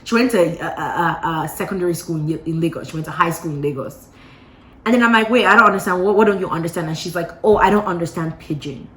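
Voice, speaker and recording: A woman vlogger casually telling a gossipy story to her viewers, animated and full of playful asides. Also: lowish voice, quick pace, a little hiss or hum. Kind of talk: casual gossip chat to camera. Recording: roomy echo.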